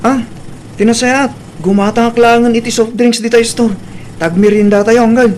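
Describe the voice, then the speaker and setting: A man's voice: very high-pitched, fairly even intonation, moderate pace, darkish tone, a little hiss or hum. A man with an Ilocano accent playing a friendly younger nephew in a radio comedy drama. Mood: friendly, agreeable. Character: friendly younger nephew mending a quarrel with uncle.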